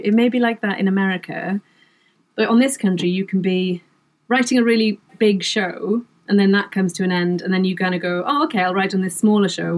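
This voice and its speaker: A middle-aged woman from Wales, whose first language is Welsh, chatting as a guest about her writing work, self-deprecating and laughing often. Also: medium pitch. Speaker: comedy writer, guest. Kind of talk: casual interview chat.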